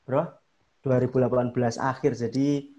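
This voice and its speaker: Man, Javanese accent, casual seminar talk, emphatic, voice climbs when stressing a point, middle pitch. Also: level tone, moderate pace, mellow, highs cut off. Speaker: YouTuber guest speaker.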